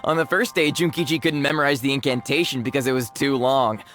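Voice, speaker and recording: A man's voice: mid-range voice, fairly even intonation, fairly quick, a bit bright, faint music behind, lightly acted. A man voicing a chatty townsman in a video game. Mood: amused.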